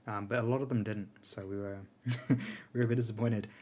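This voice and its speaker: A man on a casual live stream answering chat, his voice strained, laid-back with frequent fillers and soft laughs. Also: fairly low pitch, steady tone, a little fast. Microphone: earbuds.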